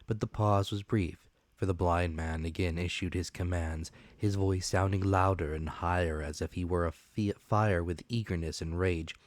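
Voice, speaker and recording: Deep-voiced man reading dramatically. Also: steady tone, moderate pace, no echo. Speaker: audiobook narrator. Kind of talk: dramatic scripted storytelling.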